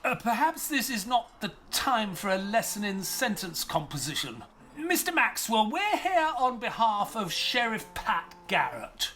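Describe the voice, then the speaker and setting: An actor playing a loyal, polite doctor who narrates and speaks in an earnest, somewhat hesitant way. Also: male voice, high voice, sing-song, unhurried, sharp tone, soft background music, heavily acted.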